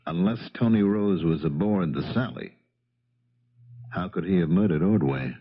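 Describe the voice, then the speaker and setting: An actor playing a steady, probing police investigator in a 1970s radio drama. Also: male voice, sits low, moderate pace. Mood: probing, grave. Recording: dull vintage radio recording.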